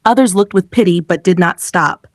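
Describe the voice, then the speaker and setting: A woman's voice: fairly low pitch, moderate pace, no echo. Woman narrating a story, close dry sound. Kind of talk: scripted dramatic folktale narration.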